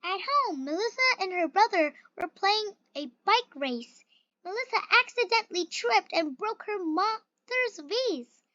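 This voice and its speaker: Very high, bright young-sounding storybook reader. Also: lively intonation, a little slow, no echo, highs cut off. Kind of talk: children's picture book read aloud.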